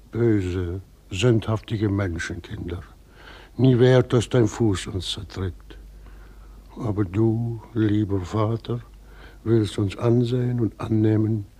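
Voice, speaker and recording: An actor playing a humble, pious old weaver, earnest and husky, speaking with dialect colouring in a radio play. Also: male voice, fairly low pitch, warm tone. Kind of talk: German radio play acting.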